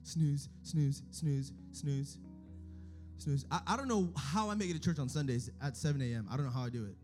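A young man preaching a sermon, moving from hushed, close talk to loud, shouted calls to the crowd. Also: a little high, moderate pace. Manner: shifts between hushed intimacy and shouted exhortation. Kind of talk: energetic sermon. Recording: faint music behind.

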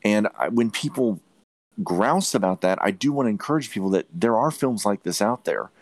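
A low-pitched man speaks as a podcast host in a close, dry recording. He shares opinionated takes on films, leaning on repetition and emphasis to drive his points home. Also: lively intonation, moderate pace, no room sound. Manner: emphatic, repeats phrases for stress. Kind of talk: opinionated movie review chat.

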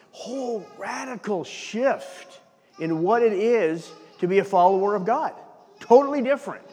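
Animated pastor preaching in a reverberant hall. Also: male voice, on the high side. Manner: animated preaching with rhetorical questions. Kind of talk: sermon. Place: church sanctuary.

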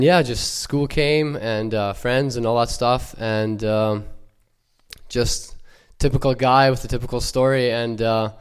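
A young man from Ukraine with no accent, giving a halting, earnest personal church testimony full of fillers and restarts. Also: medium pitch, clear, resonant tone.

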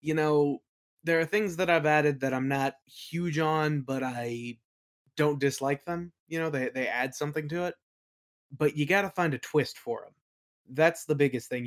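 A man answering as an interview guest in a casual conversational style, often hesitant as he thinks aloud. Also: mid-range voice. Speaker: interview guest, audio play creator.

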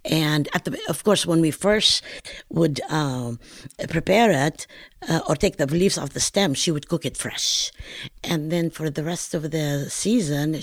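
A woman's voice: sits low, dry recording, clean studio sound. An older woman from Palestine with a northern Palestinian accent, chatting in an oral history interview as a home cook, telling stories in a digressive, talkative way.

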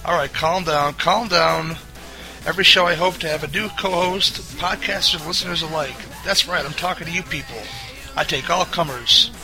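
An upbeat promo read by a man with a slightly high voice. Loud music plays behind him. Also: normal speed, rough recording. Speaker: podcast promo host.

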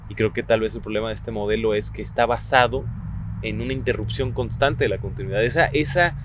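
A man's voice: on the low side, a little fast, background noise. A man interviewing a guest, musing aloud in a reflective way and checking in with short tag questions. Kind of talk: casual conversation.

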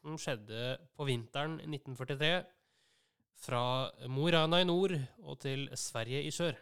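A man hosting a casual podcast, often pausing mid-sentence as he talks. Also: medium pitch, fairly bright tone, clean tone. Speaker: podcast host. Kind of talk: casual conversational podcast chat.

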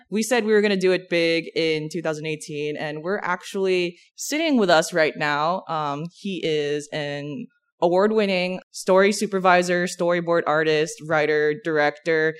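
A woman's voice: lowish voice, measured pace, clean tone. A young woman hosting a podcast, introducing a guest in an upbeat way and asking him questions. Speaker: podcast host. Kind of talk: hosting an interview.

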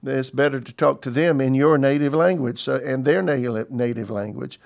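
A man's voice: mid-range voice, normal speed, gravelly. A man in his sixties, a pastor, teaching a sermon in an earnest, conversational way and quoting scripture. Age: 60s.

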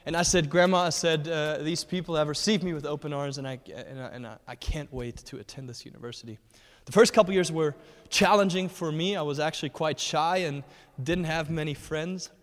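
A man in his thirties, from Germany, speaks as a guest at a church and tells his own story earnestly, stopping for fillers and restarting often. His voice sits a little high for a man. The sound carries a long reverb from a large hall. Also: fairly quick. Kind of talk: personal testimony in a church talk.

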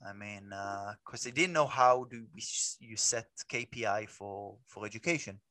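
A man's voice: fairly low pitch, moderate pace, bright, forceful tone, no echo. A man with an Israeli accent whose first language is Hebrew, a guest in an interview who talks with many restarts and repeated words. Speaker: guest, nonprofit leader. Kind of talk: podcast interview.

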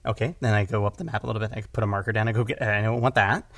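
A man's voice: on the low side, fairly even intonation, racing, a bit bright, no echo. A man talking casually as an audio drama creator in an interview, often repeating words and correcting himself as he goes. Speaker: audio drama writer-director, podcast guest.

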